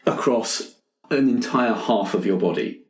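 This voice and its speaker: A man's voice: lowish voice, measured pace. A man running a tabletop roleplaying game as game master, narrating descriptively to the players. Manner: descriptive, second-person storytelling. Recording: roomy, echoey sound.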